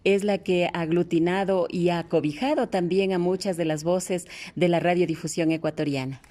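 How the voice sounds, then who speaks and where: Lady doing an interview, kinda low warm voice, chatty questions. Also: steady tone, moderate pace. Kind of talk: conversational interview.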